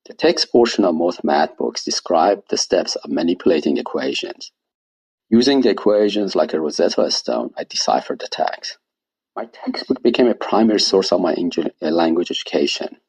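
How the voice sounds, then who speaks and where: A man, reading his own personal essay aloud in a measured, wry way. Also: low-pitched, lively intonation, darkish tone, breathy edge, rough recording. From Iran. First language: Persian. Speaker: guest storyteller.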